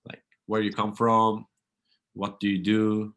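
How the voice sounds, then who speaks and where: Deep-voiced host. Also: male voice, monotone, slow pace, a bit bright. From Turkey. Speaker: podcast host. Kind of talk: interview podcast.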